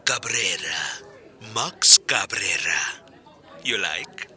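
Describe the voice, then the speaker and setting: A man's voice: deep voice, animated, extremely slow, sharp tone, a faint crowd, heavily acted. A man playing a suave, teasing secret agent in an audio drama, with a playful, theatrical drawl. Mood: smug, teasing.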